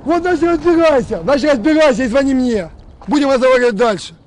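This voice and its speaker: A man's voice: extremely high pitch, fast, a little hiss or hum. A man in his thirties from Donbas, a militia commander angrily threatening someone over a phone call outdoors on a road. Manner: angry, shouting threats and insults. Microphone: camera a few meters away, on a phone.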